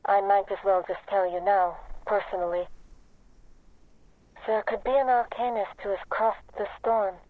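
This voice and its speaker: A woman's voice: mid-range voice, fairly even intonation, unhurried, no echo. An actress playing an easygoing female field agent over a radio link in a video game, casual in manner. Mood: easygoing, candid. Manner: lighthearted, casual. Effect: radio or telephone filter. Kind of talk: video game character acting. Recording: thin, dry phone-like radio line.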